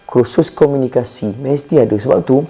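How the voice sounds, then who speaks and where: A man with a Malaysian accent giving an informal Islamic lecture in a slightly hoarse voice, conversational and didactic, as if troubled by a cough. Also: medium pitch, a little fast, warm tone. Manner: conversational and didactic, asking and answering own questions. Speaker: Islamic preacher.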